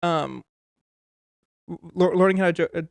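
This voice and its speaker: A man's voice: sits high, moderate pace, creaky, no room sound. A man chatting on a podcast, rambling with many restarts and fillers. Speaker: podcast host and amateur comedian. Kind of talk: casual conversation.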